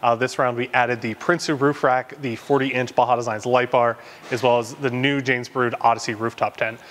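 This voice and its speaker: Relaxed young man in a workshop. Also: medium pitch, a little fast. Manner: relaxed, frequent ums and uhs. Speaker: off-road shop presenter. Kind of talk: casual product walkthrough. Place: large indoor garage workshop.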